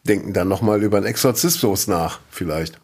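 A man with a low-pitched, somewhat husky voice co-hosts a relaxed podcast chat. He runs a quiz and rambles on between questions, his speech often breaking into laughter. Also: fairly quick. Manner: chatty and rambling, often laughing mid-sentence. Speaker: podcast co-host and quizmaster.

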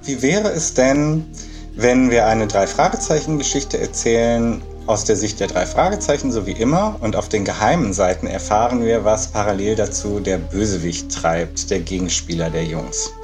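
A man's voice: on the low side, moderate pace, music in the background. A man speaking as an interviewed author, explaining his work in spontaneous talk.

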